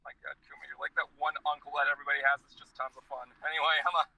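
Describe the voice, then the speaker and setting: A man's voice: fairly high pitch, flat delivery, racing, sharp tone, a little hiss or hum, low-quality recording. A man hosting a casual talk show from inside his car, joking and rambling with a groggy voice after a day of work. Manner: joking, chatty, self-interrupting.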